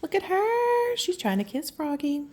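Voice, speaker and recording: A woman reading a picture book aloud to children, affectionate and expressive, with chatty asides and exclamations. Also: very high voice, a little slow. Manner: affectionate, gasps and exclaims in reaction to the story. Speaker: children's story reader and host.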